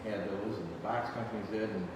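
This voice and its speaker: A man's voice: lowish voice, fairly even intonation, brisk. A man hosting a casual interview, jokey and chatty with frequent laughs. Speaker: host. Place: large distillery hall indoors. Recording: echoey hall from far off with noise.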